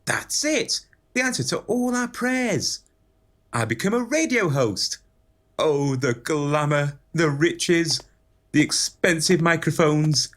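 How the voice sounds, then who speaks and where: An actor playing a naive, dim, excitable young male dreamer in a pantomime audio drama. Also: fairly high pitch.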